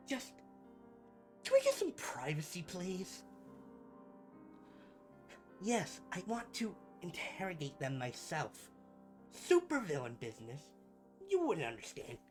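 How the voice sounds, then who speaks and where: A man's voice: high-pitched, full character voice. A man playing a pompous, melodramatic supervillain in a scripted ASMR roleplay, a bumbling rival who slips between grand speeches and chatty asides. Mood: flustered, irritated. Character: theatrical, bumbling supervillain, gloating yet secretly caring rival.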